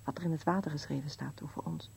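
An elderly wife in a radio drama, wistful and plaintive. Her voice is lowish and gravelly, with quick, insistent phrases. There is faint noise on the old recording. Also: fairly even intonation, darkish tone. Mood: plaintive, questioning. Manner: plaintive, insistent questioning, sometimes muttering. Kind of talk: Dutch-language radio play acting.

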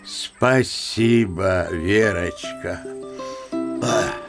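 An actor playing a genial elderly general in a radio play, sighing and reminiscing like an old-fashioned storyteller. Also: male voice, low-pitched, drawn-out words, over music. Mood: wistful, tender.

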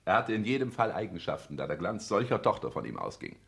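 An actor playing a cold, ambitious social climber in a 1960s German radio play, speaking in a clipped, pompous, formal and declamatory way. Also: male voice, fairly low pitch, sing-song, quick pace, gravelly. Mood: haughty, insistent.

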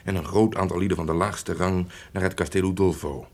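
An actor playing a loyal, cautious confidant in a radio drama, speaking in a formal, measured and sympathetic way. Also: male voice, deep voice, fairly even intonation, darkish tone. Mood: grave explaining. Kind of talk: theatrical radio drama acting.

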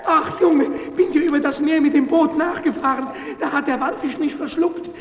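An actor playing an old, weak, tender-hearted father in a children's radio play, speaking haltingly and with feeling. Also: very high voice, fairly even intonation, reverberant, big-room sound. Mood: weary.

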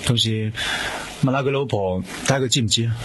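A man playing a quietly commanding, businesslike police detective in a Hong Kong Cantonese radio drama. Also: mid-range voice, brisk, a little hiss or hum, lightly acted.

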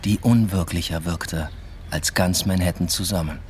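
An actor playing an aimless doctoral student in a radio drama, speaking in an understated, reflective way. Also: male voice, low-pitched, normal speed, darkish tone, slight background noise, natural voice. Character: aimless, evasive male German doctoral student narrating his New York stay. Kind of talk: literary radio drama, first-person narration and dialogue.

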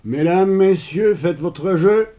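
An actor playing an impassive casino croupier in a radio drama, calling out formulas loudly and drawn out. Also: male voice, high voice, slow, background noise. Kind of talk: German radio drama acting.

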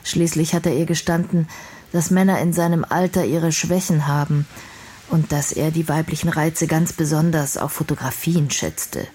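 A woman's voice: low-pitched, steady tone, moderate pace, dark tone, slightly rough. An actress playing an intelligent, outspoken young woman in a radio play, speaking in an articulate, reflective and earnest way. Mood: subdued.

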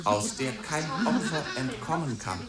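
A woman's voice: rumbling low, lively intonation, unhurried, heavy background noise. A woman playing a theatrical lecturer on insects in avant-garde radio, emphatic and savouring each word. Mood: relishing. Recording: echoey room, loud crowd-like din.

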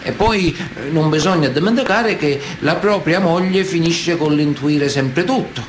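A man's voice: on the high side, a little slow, a little hiss or hum, natural voice. A man acting a Sicilian mafia man of honour in a radio theatre monologue, earnest and matter-of-fact, with a Sicilian accent. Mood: knowing, confiding.

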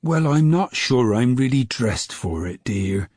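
A man voicing a nervous, excitable old grandmother in a storybook, with high fluttery exclamations. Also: a little slow, slightly rough, dry recording, studio quality.

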